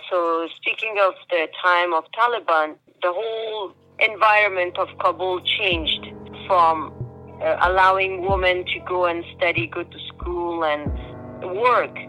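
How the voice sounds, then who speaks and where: An older woman from Afghanistan recounting her family's life in a hesitant phone interview, with many pauses and fillers. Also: fairly low pitch, pushed and bright, soft background music, low-quality recording. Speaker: interviewee, refugee mother. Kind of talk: phone interview, personal account.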